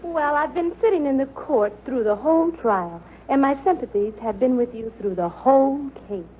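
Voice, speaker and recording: Sweet, lilting, high-voiced admirer. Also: female voice, expressive, normal speed. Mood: admiring, coy. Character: sweet, flattering young woman admirer. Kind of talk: 1950s radio sitcom acting. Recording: tinny old radio broadcast, faint noise.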